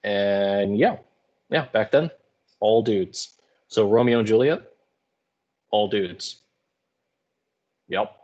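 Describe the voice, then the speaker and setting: Low-voiced man lecturing casually. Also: slow, pauses often, darkish tone, lo-fi sound. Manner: informal, colloquial, explanatory. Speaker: high school English teacher.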